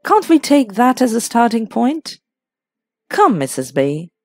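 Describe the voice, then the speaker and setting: Woman reading as urbane, coaxing gentleman, animated delivery. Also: on the high side, measured pace, no room sound, soft background music, voice pushed up, full character voice. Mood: teasing, coaxing. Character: urbane, encouraging older gentleman, teasing and coaxing. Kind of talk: audiobook character voice in a classic mystery.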